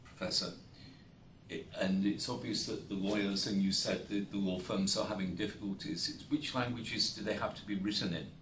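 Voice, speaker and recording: A man's voice: lowish voice, fairly even intonation, moderate pace, a bit bright, a little hiss or hum. A male solicitor in a lecture audience asking questions, polite and apologetic but pressing with follow-ups. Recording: echoey room, off-mic.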